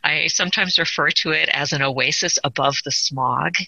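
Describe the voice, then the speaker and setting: A woman's voice: low-pitched, moderate pace, creaky, bright, forceful tone, no room sound. A chatty, upbeat Californian woman talking casually as an interview guest, often amused at herself. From Southern California, United States. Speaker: podcast guest, author. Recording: dry, slightly dull audio.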